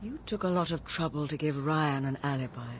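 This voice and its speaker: An actress playing a conscientious, morally shocked woman confronting a friend, a breathy voice and an earnest, grave manner in radio drama style. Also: sits low, expressive, brisk, slight background noise. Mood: accusing. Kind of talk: BBC radio drama acting.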